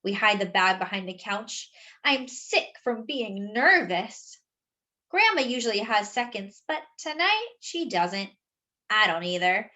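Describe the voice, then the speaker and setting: A woman reading a children's picture book aloud, expressively and with animated delivery for young listeners. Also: a little high. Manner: animated, pausing to explain words.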